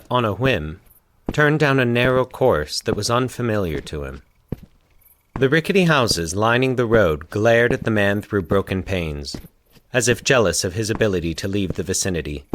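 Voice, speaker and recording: A man hosting and narrating a fiction podcast in a deliberate, literary storytelling delivery. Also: on the low side, slight background noise.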